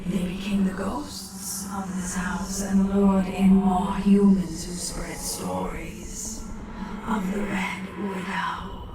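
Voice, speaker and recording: Woman acting a slow, echoing, vengeful alien wraith. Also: mid-range voice, level tone, over loud music, lightly acted. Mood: menacing, defiant. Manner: slow, menacing, grandiose, slipping into whispers. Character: vengeful female alien entity posing as a ghost. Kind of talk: audio drama acting. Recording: cavernous echo, distant voice.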